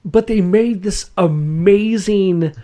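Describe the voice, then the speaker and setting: A man's voice: high-pitched, slow pace, mellow. A man hosting a podcast in casual chat, enthusiastic and rambling, laughing often. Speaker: podcast host.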